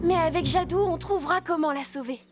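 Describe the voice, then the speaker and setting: A young girl guardian from a cartoon. Her voice is very high, and she speaks firmly and urgently to protect others. Loud music sits behind her. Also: fairly quick. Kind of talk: animated series dubbing.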